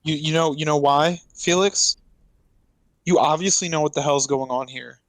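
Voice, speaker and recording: A man's voice: a little high, moderate pace, no room sound, natural voice. A man voicing an angry orphaned teenage boy who is blunt, insistent and accusatory. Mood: angry, demanding. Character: angry, demanding orphaned teenage boy confronting his friend.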